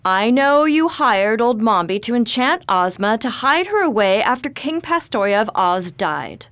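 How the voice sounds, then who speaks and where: A woman's voice: a little high, sing-song, unhurried, fairly bright tone, no room sound, heavily acted. A woman playing a regal good witch in a radio drama, stern but caring, stately and formal.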